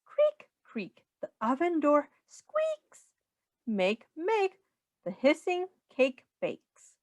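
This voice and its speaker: A woman's voice: high voice, huge pitch swings, very slow, no room sound, clean studio sound. A woman reading a children's picture book aloud in a sing-song way, as a teacher speaking simply to young children.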